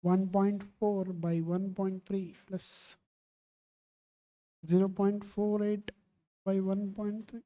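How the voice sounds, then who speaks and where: Mellow-voiced woman teaching. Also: mid-range voice, flat delivery, slow pace, pauses often. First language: Telugu. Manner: keeps quizzing students, calling them "ma". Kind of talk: online exam-prep lecture.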